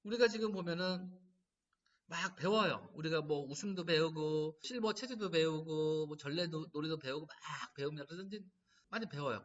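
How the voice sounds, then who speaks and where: Lively high-voiced man lecturing on laughter. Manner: lively storytelling, animated quoting. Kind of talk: lecture to caregivers. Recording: distant mic, dull lo-fi sound.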